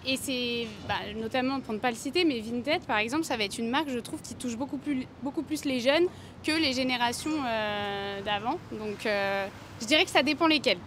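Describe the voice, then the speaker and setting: A woman's voice: fairly high pitch, moderate pace, a bit bright. A woman in her twenties sharing her opinion casually in a street interview. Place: outdoors on a city street. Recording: faint urban street noise.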